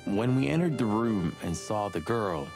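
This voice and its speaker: An actor playing a talkative, sardonic rooster cop sidekick in a film-noir adventure game. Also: male voice, fairly low pitch, lively intonation, moderate pace, over music. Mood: confessional.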